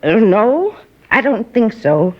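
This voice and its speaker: A chatty, prying middle-aged neighbor rambling on in folksy, confiding talk. Her voice is a little husky, heard through a dull lo-fi 1950s radio recording. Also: fairly high pitch, very animated, takes it slowly, exaggerated voice. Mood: gossiping. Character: gossipy nosy middle-aged woman neighbor. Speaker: apartment neighbor questioned by police. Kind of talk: 1950s radio drama acting.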